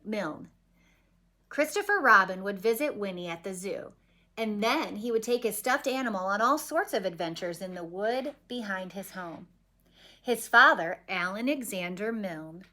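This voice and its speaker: A woman reading a children's picture book aloud expressively, with dramatic pauses. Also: medium pitch, bright, forceful tone. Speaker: story reader.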